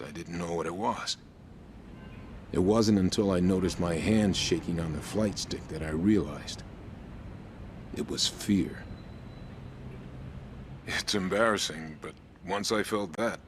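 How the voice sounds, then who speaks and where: Low-voiced, humbled ex-ace pilot. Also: male voice, slight background noise. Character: humbled male former ace pilot confessing his fear. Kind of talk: dramatic interview-style monologue in a video game.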